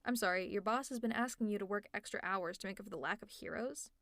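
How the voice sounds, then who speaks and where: A woman's voice: mid-range voice, quick pace, fairly bright tone, dry recording, clean studio sound, lightly acted. A woman playing a teasing, exasperated, secretly caring female supervillain in an ASMR audio roleplay, with a sarcastic, conversational delivery.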